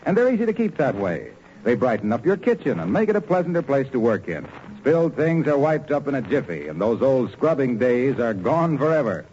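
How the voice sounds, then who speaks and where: Male announcer for 1940s radio, polished and cheery, lively intonation, muffled vintage sound with faint noise. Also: mid-range voice. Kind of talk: 1940s radio announcing, scripted sponsor reading. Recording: dull, faintly noisy old radio recording.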